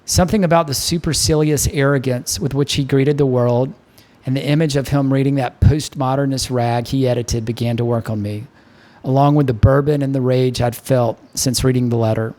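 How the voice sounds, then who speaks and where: A man's voice: medium pitch, fairly even intonation, moderate pace, darkish tone. A man narrating a first-person novel as an audiobook reader.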